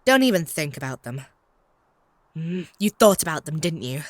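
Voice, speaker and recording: A woman's voice: deep voice, big pitch swings, normal speed, no room sound. A woman playing a haughty, possessive, lovestruck vampire in a comedic audio roleplay, snide and theatrical. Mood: jealous, commanding. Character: possessive, lovestruck female vampire, bickering and flustered.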